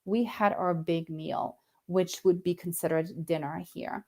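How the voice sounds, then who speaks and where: A woman's voice: fairly low pitch, fairly even intonation, measured pace, warm tone. A middle-aged woman from Germany, a nutritionist explaining in a casual talk to camera, thoughtful and hesitant, with frequent fillers and restarts.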